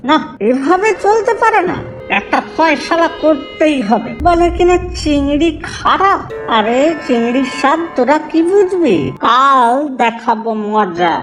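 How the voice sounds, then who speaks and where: A woman's voice: high voice, animated, a little slow, over music. A woman voicing a stubborn, combative village man in a cartoon, defiant and taunting with his neighbour. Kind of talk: cartoon story dialogue.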